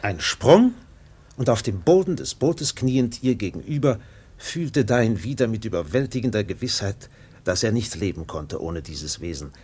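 Radio drama narrator: grave seafaring storyteller, lowish male voice, sweeping dynamic delivery, dramatic pauses. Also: sing-song, darkish tone, breathy edge. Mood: grave, impassioned. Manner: measured phrases with dramatic swells and pauses.

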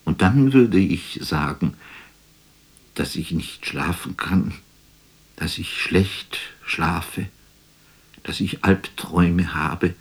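An actor playing a wistful, weary poet, musing lyrically in a measured radio drama monologue. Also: male voice, deep voice, husky, exaggerated voice. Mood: weary longing. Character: wistful, weary male poet imagining a phone call.

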